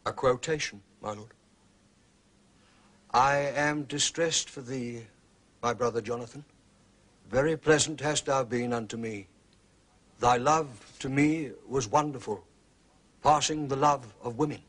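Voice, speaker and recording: An actor playing a deferential, unflappable English manservant in a period radio drama, speaking formally with measured, discreet politeness. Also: male voice, mid-range voice, a bit bright. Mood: solemn recitation.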